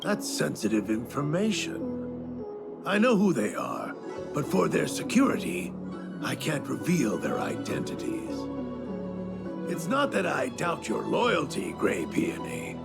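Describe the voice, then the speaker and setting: A man acting as a zealous cult disciple and former spearman in a video game, with a gravelly voice and deliberate, solemn, theatrical delivery. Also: a little high, wildly swooping pitch, full character voice. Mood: guarded, calm. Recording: loud music under the voice.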